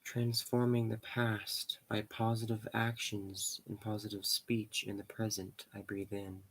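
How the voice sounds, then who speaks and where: A man leading a guided meditation in slow, measured short phrases with pauses between them. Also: lowish voice, completely flat delivery, breathy edge, poor audio.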